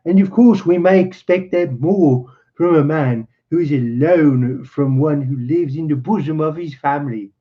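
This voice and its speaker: A man's voice: on the high side, measured pace, few pauses, voice pushed up, natural voice. A man voicing a secretive, insistent German colonel in his late thirties from a storybook, speaking formally and guardedly. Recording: dull, muffled lo-fi recording.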